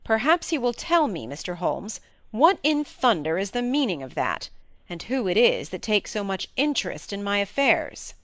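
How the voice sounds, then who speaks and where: A woman voicing a brisk, blunt young baronet in an audiobook, with emphatic phrasing. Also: medium pitch, very animated, fairly bright tone, no room sound. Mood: puzzled, demanding. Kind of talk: audiobook character reading.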